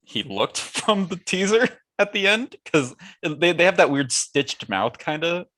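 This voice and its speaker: A man's voice: a little high, expressive, unhurried, a bit bright. A man co-hosting a casual talk show, chatting in an opinionated, wry way. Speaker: podcast co-host. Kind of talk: casual banter about movies.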